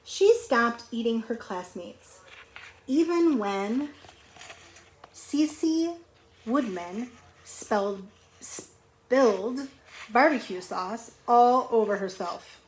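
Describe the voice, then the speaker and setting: A middle-aged woman reads a picture book aloud to young children, pausing to explain simply and ask questions in a conversational way. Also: on the high side, animated. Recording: clearly noisy home recording.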